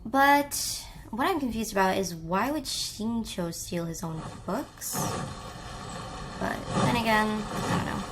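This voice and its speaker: A woman's voice: mid-range voice, animated, slow pace, very noisy. A young woman chatting casually as she plays a game, thinking aloud, with music behind her. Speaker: gaming YouTuber. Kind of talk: casual let's play commentary.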